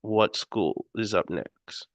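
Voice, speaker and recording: A man's voice: lowish voice, slow pace, no echo. A man hosting a live stream, casually quizzing the chat. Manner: casual, addresses chat with quiz questions. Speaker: host.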